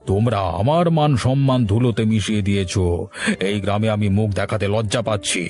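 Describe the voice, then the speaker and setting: A man's voice: fairly low pitch, a little fast, a little mellow, faint music behind, close to an everyday voice. An actor playing a stern, proud older father in a cartoon, indignant, contemptuous and commanding. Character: stern, proud father rejecting his son's marriage. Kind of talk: Bengali cartoon voice acting.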